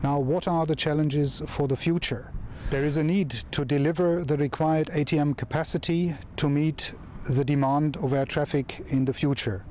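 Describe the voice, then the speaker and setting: A man speaking formally and in a measured way as an official giving an interview statement. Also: on the high side, fairly even intonation, a little mellow. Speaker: aviation safety official. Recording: faint background noise.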